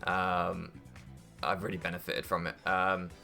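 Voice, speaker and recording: A man's voice: low-pitched, flat delivery, measured pace, gravelly, pushed and bright, soft background music. A young man doing casual strategy game commentary, thinking aloud and deliberating as he goes, with hesitations. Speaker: gaming YouTuber.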